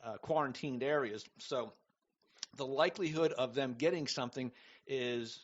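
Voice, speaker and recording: A man's voice: medium pitch, normal speed, dull audio. A man taking part in a radio talk discussion, explaining his point conversationally. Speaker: radio talk show panellist. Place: radio show panel discussion.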